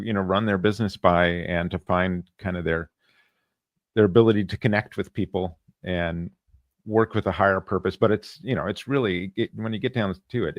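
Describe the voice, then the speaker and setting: Man, low-pitched voice, dry close recording, podcast host, casual, reflective, halting. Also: no echo. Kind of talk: casual interview talk.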